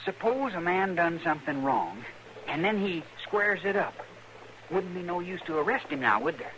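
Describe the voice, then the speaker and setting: A man's voice: sits high, expressive, moderate pace, bright, forceful tone. An actor playing a naive, stubborn young farm boy with a rural American accent and a hesitant, folksy manner, in radio western style. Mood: earnest, hesitant. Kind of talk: old-time radio western acting. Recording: noisy old radio drama recording.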